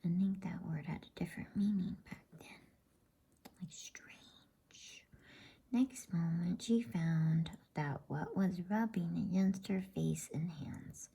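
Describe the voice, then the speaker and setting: A woman reading a bedtime story in a soft, breathy ASMR whisper, gentle and unhurried. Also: lowish voice.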